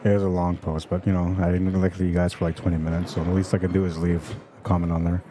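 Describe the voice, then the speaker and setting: Man, deep voice, calm and easygoing, soothing tone, reading chat on a pool stream. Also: fast, slight background noise. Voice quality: soothing, relaxing voice. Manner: calm, easygoing and chatty, with soft laughs. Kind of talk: casual live-stream commentary, reading viewer comments aloud. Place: pool tournament venue, indoors.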